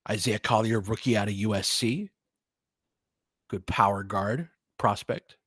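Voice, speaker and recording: Excitable man calling a basketball game. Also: on the low side, slightly rough, dry recording, studio quality. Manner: quick, excitable calls with chatty asides. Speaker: play-by-play commentator. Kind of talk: fan-made basketball play-by-play commentary.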